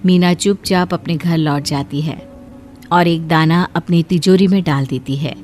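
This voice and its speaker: Woman narrating a cartoon story. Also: fairly low pitch. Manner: steady, clear storytelling.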